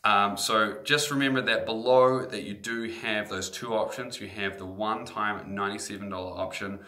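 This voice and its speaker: A man from New Zealand with a New Zealand accent, a guitar instructor making a casual sales pitch to camera and often asking "okay?". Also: fairly even intonation, moderate pace, pushed and bright.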